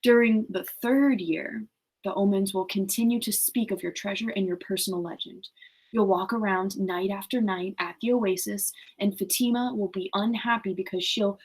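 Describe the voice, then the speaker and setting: A woman reading aloud the part of a wise male alchemist, a knowing mentor who foretells things in a measured storybook manner. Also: medium pitch, steady tone, husky, rough recording. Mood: calm, foretelling.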